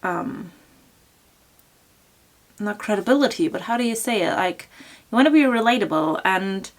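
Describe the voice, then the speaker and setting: A woman's voice: mid-range voice, lively intonation, moderate pace. A woman from Germany with a German accent answering viewer questions in a casual fashion vlog, chatty and full of ums and likes. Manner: chatty, many ums and likes, laughs softly. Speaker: fashion YouTuber.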